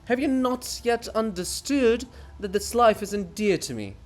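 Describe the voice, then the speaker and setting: A man's voice: sits high, expressive, unhurried, pitched above the performer's own voice. A man voicing a vain, fanatical monument come alive in a dramatic stage farce, declaiming pompously and theatrically. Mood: martyred grandeur. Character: ranting, grandiose Bulgakov monument, vain and fanatical.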